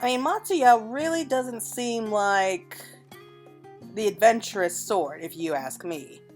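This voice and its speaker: A woman's voice: fairly high pitch, slow. Chatty woman narrating her video game playthrough. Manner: chatty, musing aloud to the audience. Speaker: game streamer, host of a let's play. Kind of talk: casual let's-play commentary with read-aloud dialogue. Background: game music.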